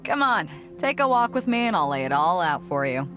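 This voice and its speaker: A self-assured pirate captain from a video game, speaks with wry confidence at a middle pitch. Her delivery is lively and teasing, like someone who enjoys explaining her schemes. Light music plays behind her. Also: brisk. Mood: confiding, amused. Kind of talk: voiced video game dialogue.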